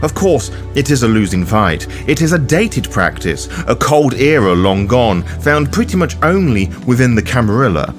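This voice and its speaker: A man's voice: medium pitch, very animated, moderate pace, hardly any pauses, faint music behind. A man delivering scripted video-essay narration in an emphatic, opinionated manner, as the host of a lore guide.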